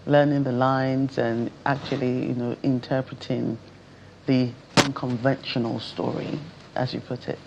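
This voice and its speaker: A woman's voice: a bass voice, little pitch movement, unhurried, creaky. A woman, a TV interviewer asking casual questions in a hesitant, rephrasing way. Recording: dull sound, noticeable background noise.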